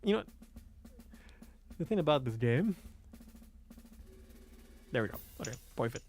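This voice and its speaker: Creaky-voiced man muttering over a game. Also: mid-range voice, sing-song, unhurried, a little mellow. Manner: casual, swearing, muttering asides. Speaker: let's player reading a visual novel aloud. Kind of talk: casual gameplay commentary. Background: game music.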